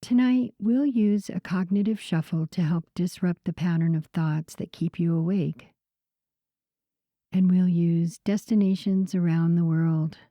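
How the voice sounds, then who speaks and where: A woman guiding a sleep meditation in a soothing, unhurried way, with a soft voice that turns breathy at the ends of phrases. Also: on the low side, no room sound. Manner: soothing, unhurried, gentle pauses. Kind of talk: slow guided sleep meditation narration.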